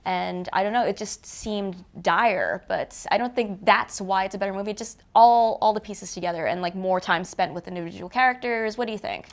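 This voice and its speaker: A woman's voice: medium pitch, moderate pace, hardly any pauses. A woman co-hosting a casual film discussion with an enthusiastic, chatty way of talking. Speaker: co-host of a movie review show.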